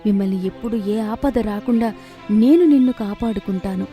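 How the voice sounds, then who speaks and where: A woman's voice: medium pitch, normal speed, dark tone, soft background music, lightly acted. A woman voicing a loyal, grateful cow thinking aloud earnestly in a storybook tale. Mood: grateful, devoted.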